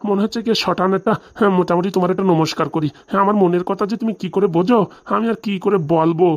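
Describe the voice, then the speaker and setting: Man playing high, rapid, fawning cartoon father-in-law. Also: fairly even intonation, lo-fi sound, natural voice. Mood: fawning, sentimental. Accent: rural Bengali. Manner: effusive, wheedling, rambling. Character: gluttonous older village father-in-law, fawning and comically sentimental. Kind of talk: comedy cartoon dialogue.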